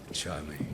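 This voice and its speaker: A man playing a cultured, sadistic Nazi officer in a video game, with a slow, mocking delivery that often sinks to a whisper. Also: low voice, very noisy. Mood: amused menace. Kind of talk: cinematic video game acting.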